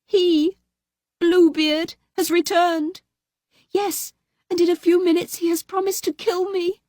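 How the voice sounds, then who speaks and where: A woman's voice: level tone, slow, a little mellow, dry recording, clean studio sound. Woman voicing a terrified pleading young wife. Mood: desperate. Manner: high, desperate pleading.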